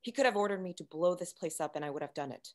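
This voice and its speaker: Woman acting low-voiced, composed female android. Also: animated, a little mellow, lightly acted. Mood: defensive, controlled. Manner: measured, matter-of-fact, coolly explanatory. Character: composed, secretive female android science officer. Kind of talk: sci-fi screenplay table read.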